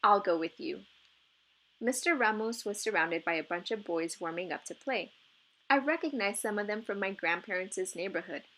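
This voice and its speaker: A woman narrating a children's novel as an expressive read-aloud. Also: mid-range voice, moderate pace, low-quality recording.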